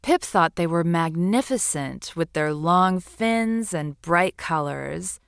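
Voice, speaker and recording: A woman storyteller narrating bedtime stories for children in a soothing, deliberate, expressive manner. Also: lowish voice, gravelly, clean tone, no echo, studio quality.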